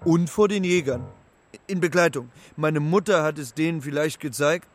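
A young moose acted by a man speaks in a lightly high voice, simply and earnestly. He is homesick and naive, taking every word literally, in a children's radio play with faint music behind him. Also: lightly acted. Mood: eager, earnest. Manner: simple, halting, literal way of talking.

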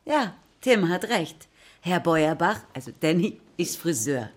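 An actress playing a composed, encouraging school teacher in a children's radio play. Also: female voice, on the low side, sing-song, measured pace. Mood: explaining.

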